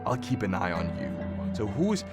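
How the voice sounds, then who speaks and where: A man's voice: lowish voice, very animated, normal speed. A man hosting a reaction video, joking and thinking aloud as he comments casually and reads captions out. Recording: music playing behind the voice. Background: background music.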